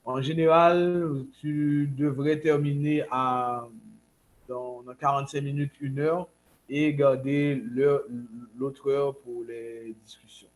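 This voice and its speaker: A man introducing a speaker as host of an online conference, pausing mid-phrase and restarting as he goes. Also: medium pitch, steady tone, low-quality recording. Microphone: video call.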